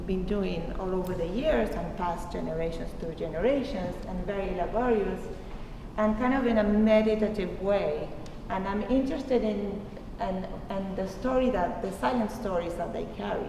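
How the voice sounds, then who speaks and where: A woman from Argentina gives a thoughtful gallery talk and stops often to search for her words. She is heard from far off in a big echoing hall. There is steady noise under her voice. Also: medium pitch, moderate pace. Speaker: artist presenting her work. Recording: echoey hall recorded from far off, noisy.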